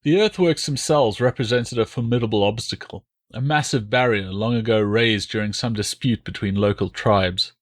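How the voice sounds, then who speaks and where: A man's voice: fairly low pitch, moderate pace, hardly any pauses. A man narrating a historical novel as a scripted audiobook.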